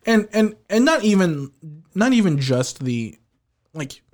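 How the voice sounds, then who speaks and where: A man co-hosting a podcast, chatting casually about a movie, animated and often restarting his sentences with a laugh. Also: sits high, unhurried. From Filipino heritage, living in the United States. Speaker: podcast co-host. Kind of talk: casual movie review chat.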